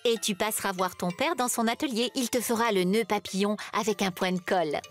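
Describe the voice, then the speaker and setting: A woman's voice: mid-range voice, a little fast, faint music behind, natural voice. A woman playing a caring, practical mother of a young boy in a French children's cartoon. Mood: breezy, matter-of-fact.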